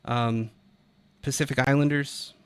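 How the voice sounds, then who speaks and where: A man's voice: medium pitch, steady tone, unhurried, clear, resonant tone. Emphatic American man, argumentative commentary. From Utah, United States. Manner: emphatic, argumentative, casually profane. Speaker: video commentator. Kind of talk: unscripted opinionated commentary monologue.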